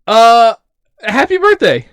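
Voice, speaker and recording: A man hosting a casual livestream, talking and explaining things in a rambling, animated way. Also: extremely high pitch, slow, clear, resonant tone, no echo, studio quality. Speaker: livestream host. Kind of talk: casual livestream chat with his mother.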